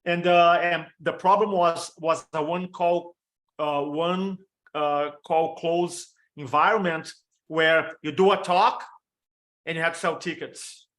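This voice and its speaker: A man in his fifties from Brazil with a Brazilian Portuguese accent, giving an energetic storytelling training talk over a video call and stacking quick lists of examples. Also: a little high. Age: 50s. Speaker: motivational speaker and storytelling coach.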